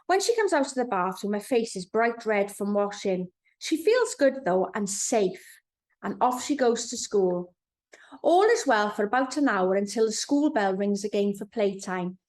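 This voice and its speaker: A woman's voice: mid-range voice, expressive, normal speed, a little mellow. Woman reading a children's storybook expressively. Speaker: author reading own children's book. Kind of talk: storybook narration for young children.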